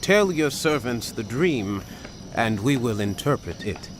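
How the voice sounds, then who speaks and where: A man's voice: medium pitch, very animated, unhurried, background noise. An actor playing a deferential royal astrologer, addressing a king ceremoniously in a dramatized audio Bible.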